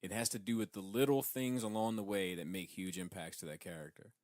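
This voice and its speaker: Male podcast co-host, low voice, dry close sound, earnest casual explainer who swears. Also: steady tone, a little fast, a little mellow, no echo. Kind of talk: casual chat about comic books.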